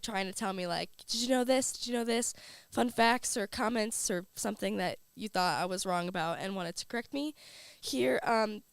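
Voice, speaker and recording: A woman's voice: a little high, a bit bright, smooth, dry recording. A young woman hosting a casual student radio show while fighting a cold, chatty and full of filler words. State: has a cold. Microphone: studio microphone.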